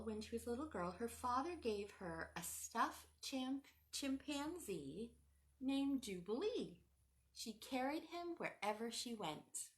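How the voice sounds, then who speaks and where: A woman hosting a children's storytime, reading a picture book aloud in a sing-song teacher voice and asking the children questions. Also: fairly high pitch.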